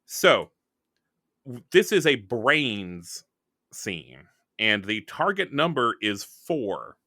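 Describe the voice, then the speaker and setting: A man's voice: medium pitch, sing-song, drawn-out words, bright, forceful tone, dry recording, clean studio sound. A man casually explaining rules and improvising during a tabletop roleplay game.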